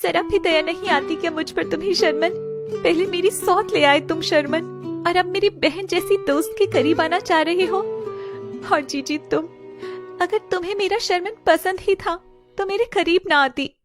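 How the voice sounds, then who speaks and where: Woman playing betrayed young wife, heartbroken, reproachful, dramatic audio story, high voice, music behind. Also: moderate pace. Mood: wounded accusing. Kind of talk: Hindi audio story acting.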